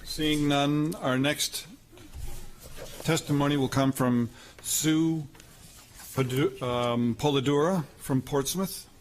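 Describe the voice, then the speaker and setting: A man chairs a public hearing at a slow pace. His voice is slightly high for a man and his style is formal and procedural, over faint room noise. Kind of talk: formal meeting moderation. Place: city council chamber. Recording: noisy public meeting room pickup.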